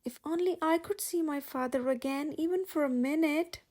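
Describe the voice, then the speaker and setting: A woman's voice: high-pitched, moderate pace, a little mellow, voice pushed up, close to an everyday voice. A woman voicing a kind, earnest young storybook heroine in an emphatic, heartfelt way. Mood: wistful.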